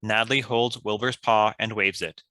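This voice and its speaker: A man narrates scripted stage directions in a lowish, forceful voice. The sound is close and dry. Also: fairly even intonation, normal speed, dry recording, rough recording.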